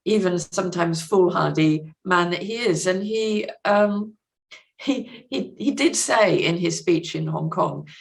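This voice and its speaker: A woman speaking as a literary interview guest, explaining things in an articulate, considered way and pausing to find the right words. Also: lowish voice, vocal fry. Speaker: podcast guest, literary translator.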